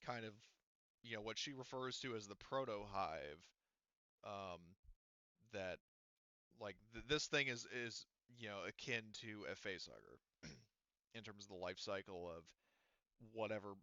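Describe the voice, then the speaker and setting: A man's voice: fairly low pitch, bright, forceful tone, dry recording. A man running a tabletop game as game master, explaining at length with many fillers and restarts. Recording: dull lo-fi sound.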